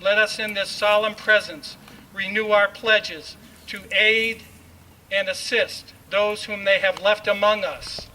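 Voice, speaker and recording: A man's voice: sits high, fairly even intonation, slow pace, pushed and bright. A man reading a formal proclamation aloud in a solemn, oratorical manner. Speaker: ceremonial reader. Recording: distant mic, faint background noise.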